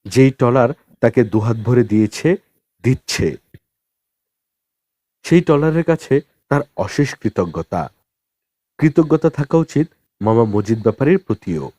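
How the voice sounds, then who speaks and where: A man narrating a novel as an audiobook in a dramatic, expressive storytelling style with deliberate pauses. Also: medium pitch, no echo, studio quality.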